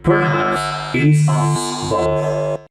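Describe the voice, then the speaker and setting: A woman's voice: a bass voice, very animated, very slow, exaggerated voice. A woman voicing a cold, fatalistic, taunting animatronic without a face in a horror video game, ominous and theatrical, with echo and music. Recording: echoey, loud music behind.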